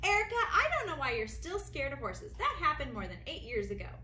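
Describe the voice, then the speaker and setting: A woman's voice: high voice, very animated, a little fast, sharp tone, voice pushed up, full character voice. A woman voicing an eager, pushy young girl in a storybook, full of exclamations. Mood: questioning. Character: excitable, pushy young girl, best friend. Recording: roomy echo, faint background noise.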